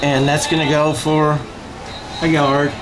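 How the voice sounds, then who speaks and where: A man's voice: a little high, little pitch movement, measured pace, low-quality recording. A man giving live amateur play-by-play of a football game, calling the clock and score in short bursts from the stands outdoors. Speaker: play-by-play announcer.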